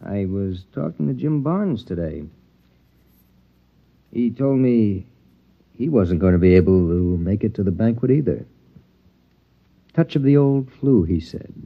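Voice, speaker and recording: An actor playing a brusque, impatient husband in a 1950s radio drama. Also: male voice, on the low side, lively intonation, measured pace, gravelly, pauses often, full character voice. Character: stern, calculating husband. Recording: dull old radio broadcast.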